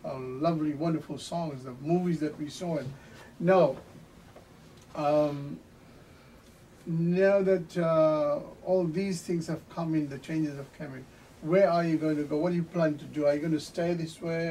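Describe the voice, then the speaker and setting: Mellow-voiced man hosting an interview. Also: fairly high pitch, fairly even intonation. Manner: halting, many fillers and restarts. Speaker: talk show host. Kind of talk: casual interview. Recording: distant mic with faint noise.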